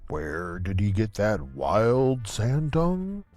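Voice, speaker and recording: An actor playing a deadpan small-town radio host, giving measured, folksy play-by-play of cosmic horror in an audio comedy. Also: male voice, lowish voice, no room sound, exaggerated voice. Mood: intrigued, dramatic. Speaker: co-host and commentator.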